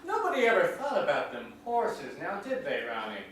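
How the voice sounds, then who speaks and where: Genial rural storyteller, high male voice, folksy, stage drama, distant echoing room, faint noise. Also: huge pitch swings, normal speed, fairly bright tone, heavily acted. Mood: puzzled. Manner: folksy rural dialect, drawn out. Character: genial, folksy small-town countryman telling stories. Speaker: actor in a staged reading. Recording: echoey hall, distant mic, faint noise.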